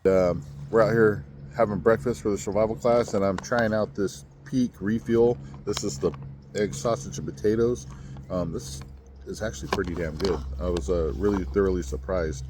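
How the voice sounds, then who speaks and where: Casual male vlogger, lowish voice, easygoing camp chatter. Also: fairly even intonation, moderate pace, noisy background. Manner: chatty, laid-back, jokey asides. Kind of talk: casual outdoor vlog. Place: outdoor campsite.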